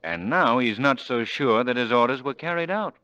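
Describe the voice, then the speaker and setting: Suave amateur detective: sardonic, assured, animated medium-pitched delivery, dull vintage radio recording. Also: male voice, moderate pace, no echo. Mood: confident. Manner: smooth-talking, sardonic, assured. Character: suave, wry male amateur sleuth, scheming and confident. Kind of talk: old-time radio drama acting.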